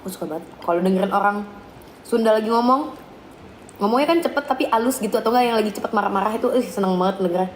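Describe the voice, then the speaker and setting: A woman's voice: medium pitch, racing. A young woman telling stories and giggling in casual chat while she eats. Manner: chatty, giggly storyteller. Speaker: live stream co-host. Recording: echoey room with faint noise. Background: clinking cutlery and dishes.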